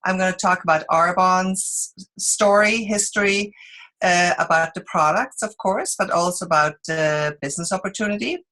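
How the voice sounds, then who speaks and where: A middle-aged woman from Switzerland with a Swiss accent, telling her story as a guest speaker in a relaxed, unscripted way with halting restarts. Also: lowish voice. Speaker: guest speaker, sales consultant. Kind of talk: informal presentation on a group call.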